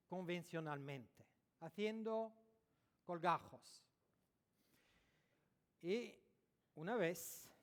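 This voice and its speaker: Slow, halting non-native lecturer in a hall. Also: male voice, a little high. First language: French or Italian, not Spanish. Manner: halting non-native Spanish, searching for words and self-correcting. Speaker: dentist giving a conference talk. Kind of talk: conference lecture. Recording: echoey hall recording.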